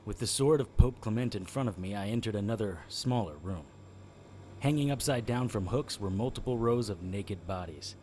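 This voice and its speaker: An actor playing a grim, deadpan occult investigator who narrates his own adventure in a noir audio drama. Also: on the low side, normal speed, slight background noise, close to an everyday voice. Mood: grim, tense.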